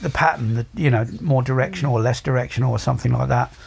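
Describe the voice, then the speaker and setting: A man co-hosting a casual podcast, his voice gravelly and a little husky. He talks about recording gear in a relaxed, hesitant way, with plenty of ums. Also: mid-range voice, fast talker. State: voice getting croaky. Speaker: podcast co-host.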